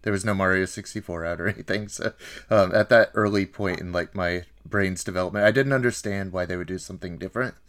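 A man in his thirties with a very low-pitched voice. He talks casually as a podcast co-host, feeling his way through thoughts in a halting, wry manner. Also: a bit bright. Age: 30s. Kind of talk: casual film discussion.

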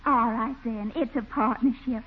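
An actress playing a coy, chatty, enterprising Southern belle in a 1940s radio comedy. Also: female voice, fairly high pitch, steady tone, normal speed, noisy background, lightly acted. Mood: sweetly persuasive. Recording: tinny 1940s radio recording.

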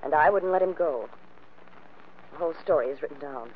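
An actress playing a wary, bitter scorned wife in the style of a 1940s radio drama. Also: female voice, deep voice, a little fast, slightly rough. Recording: muffled old radio recording.

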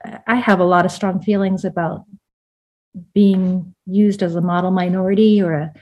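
A woman's voice: on the low side, steady tone, moderate pace, lo-fi sound. A woman from the United States, born in Vietnam, speaking as an interview guest in a casual, reflective and candid way, with plenty of "like" in her sentences. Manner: candid, informal, peppered with "like".

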